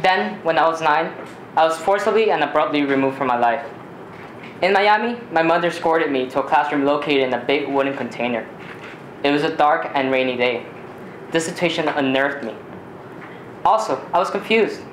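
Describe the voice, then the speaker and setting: A teenage male from Ecuador, a native Spanish speaker, reading a personal story aloud in a measured, deliberate way with occasional stumbles. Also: a little high, animated, fairly bright tone. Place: small community theater stage. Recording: roomy live stage recording, faint noise.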